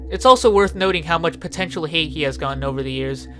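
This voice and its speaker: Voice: mid-range voice, fast, bright, forceful tone. A narrator giving an informal, opinionated video essay commentary and swearing freely. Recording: music playing behind the voice. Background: background music.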